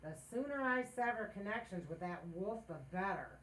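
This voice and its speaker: A woman playing a scheming, excitable housewife with dry wit, wisecracking theatrically in an old-time radio sitcom. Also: medium pitch, normal speed, a little hiss or hum, natural voice, poor audio. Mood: wry.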